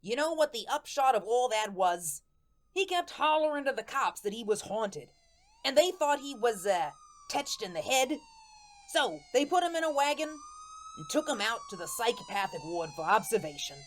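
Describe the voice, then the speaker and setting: A woman's voice: sits high, huge pitch swings, normal speed, slight background noise. A woman playing the earnest, hapless ghost of a murdered tough guy in a radio drama, talking in folksy slang. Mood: chatty, rueful. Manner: folksy slangy speech with dropped grammar and rhetorical questions. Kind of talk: radio drama first-person monologue.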